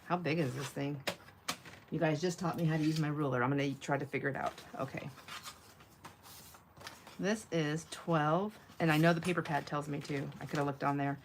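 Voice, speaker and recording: Female craft stream host, low nasal voice, rambles and thinks aloud informally, slight noise behind her. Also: fairly even intonation, moderate pace, pauses often. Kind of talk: casual live chat while crafting.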